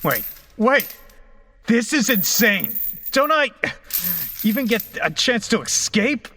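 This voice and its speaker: A man's voice: high-pitched, very animated, takes it slowly, pushed and bright, slight background noise, exaggerated voice. A man playing a brash, rattled young rocker in a horror audio drama, colloquial, incredulous and foul-mouthed. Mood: alarmed, pleading. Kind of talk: horror audio drama acting and first-person narration.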